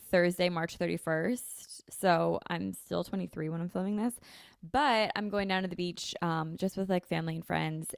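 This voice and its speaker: A young woman in her twenties rambles chattily through a solo podcast, her fairly low voice full of vocal fry and constant "like"s. She speaks close into a handheld mic, and the sound is dry and close with no room echo. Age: 20s. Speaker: podcast host. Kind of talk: casual solo podcast chat. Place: home kitchen, indoors. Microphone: handheld dynamic mic held close.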